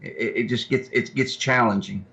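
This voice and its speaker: A man's voice: medium pitch, steady tone, moderate pace, gravelly, pushed and bright. A man with an American accent chatting casually as a guest. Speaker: podcast guest, kayak angler. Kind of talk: casual chat. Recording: slightly dull, muffled audio.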